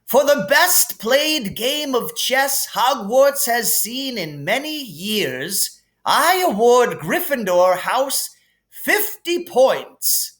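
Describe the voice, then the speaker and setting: A man voicing an elderly, wise and kindly wizard headmaster with a stately, deliberate delivery, as in a storybook reading. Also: very high voice, sing-song, fairly bright tone, pitched above the performer's own voice, full character voice. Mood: proud, solemn.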